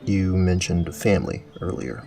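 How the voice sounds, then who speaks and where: Man acting grave, gravelly, low-voiced knight. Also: lively intonation, normal speed, mellow, soft background music. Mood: formal. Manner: formal, terse, dry. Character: grave, determined knightly captain from a suffering land. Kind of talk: fantasy audio drama acting.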